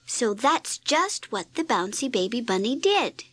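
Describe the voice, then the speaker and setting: A woman reading a scripted children's read-along story in an expressive, deliberate storytelling manner. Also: on the high side, no echo. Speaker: storybook narrator.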